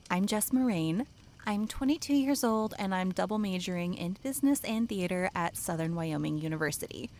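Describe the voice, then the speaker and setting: A woman's voice: mid-range voice, darkish tone, slight background noise, close to an everyday voice. A woman playing a sassy, ambitious 22-year-old camp counselor in an audio drama, blunt and teasing.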